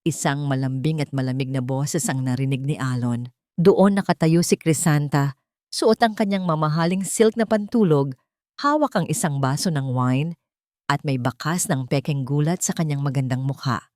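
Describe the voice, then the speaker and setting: Low-pitched woman narrating drama. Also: normal speed, a little mellow, dry recording, clean studio sound. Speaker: story narrator.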